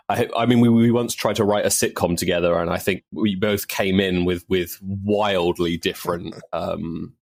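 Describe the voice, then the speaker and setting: A man's voice: deep voice, fairly even intonation, normal speed, creaky. A man speaking casually as an interview guest, with wry humour and a habit of repeating words as he thinks. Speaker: podcast guest, audio drama producer.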